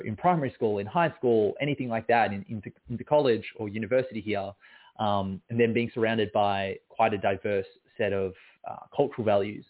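A man, a guest poet answering interview questions in a reflective, searching way. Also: fairly low pitch. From Australia. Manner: reflective, many fillers and restarts. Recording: phone-like narrow sound.

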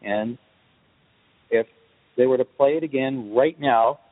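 A man explaining things as an interview guest, in deliberate, measured phrases. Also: medium pitch, dry recording, in a large hall, phone-quality sound.

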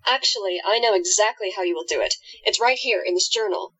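Woman acting a husky, blunt companion. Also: medium pitch, a little fast, fairly bright tone, no echo, highs cut off. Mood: matter-of-fact. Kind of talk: radio play acting.

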